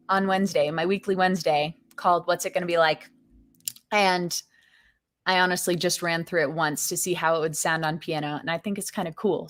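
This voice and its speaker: A woman hosting her own music livestream, chatting casually with viewers and greeting them by name. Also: lowish voice. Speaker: singer-songwriter hosting a livestream. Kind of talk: casual livestream chat between songs. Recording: loud piano accompaniment.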